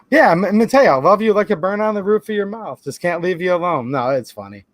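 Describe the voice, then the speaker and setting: A man's voice: high-pitched, no room sound, studio quality. A man with a Michigan accent hosting a firearms channel, talking casually to camera in a chatty, sarcastic way and often calling his viewers "guys.". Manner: holds items up to the camera while talking.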